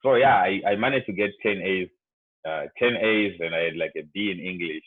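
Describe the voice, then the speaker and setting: A man's voice: low-pitched, fairly quick, dry recording. A young man from Zimbabwe with a Zimbabwean accent, speaking casually to students on a video call and filling his talk with "like" and "you know". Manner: rambling, heavy on "like" and "you know". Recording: tinny, dry video-call audio.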